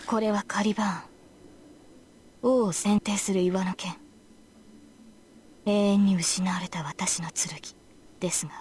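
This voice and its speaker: A woman's voice: medium pitch, fairly even intonation, normal speed, pauses often, darkish tone, husky. A woman playing a resolute, protective young knight in a Japanese visual novel, speaking formally and firmly. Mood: solemn, wistful. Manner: formal, earnest, firm.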